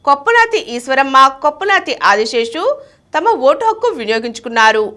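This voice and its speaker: A woman reads the news from a script. Her voice sits very high and has a bright, forceful edge. The intonation is animated, moving widely up and down as she narrates the bulletin. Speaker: news reader.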